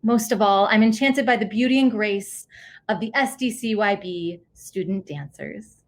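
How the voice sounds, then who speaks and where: A woman's voice: mid-range voice, moderate pace. A woman hosting a virtual event from home with a prepared script. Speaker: event host. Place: at home in an apartment.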